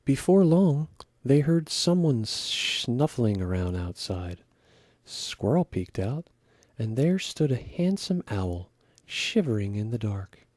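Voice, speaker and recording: A man reading a children's story aloud with expressive narration. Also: mid-range voice, takes it slowly, no echo.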